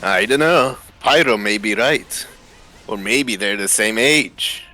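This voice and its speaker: A man's voice: on the low side, slow, sharp tone. A man voicing a boastful, hard-drinking Scottish mercenary who teases his companions, in a fan story reading. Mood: casual. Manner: teasing, boastful. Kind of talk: amateur voice acting in a live fan story reading. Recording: music behind the voice.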